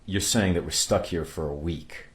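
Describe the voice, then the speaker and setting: Actor as a deep-voiced, weary spaceship captain. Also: male voice, normal speed, close to an everyday voice. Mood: grave, troubled. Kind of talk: radio play acting.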